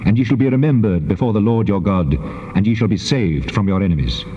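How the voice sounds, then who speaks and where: An actor playing a commanding, solemn God in a dramatized scripture recording, declaiming grandly. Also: male voice, lowish voice, expressive, a little fast, natural voice. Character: God, a commanding solemn male deity giving law. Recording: long cavernous reverb, dull tape sound.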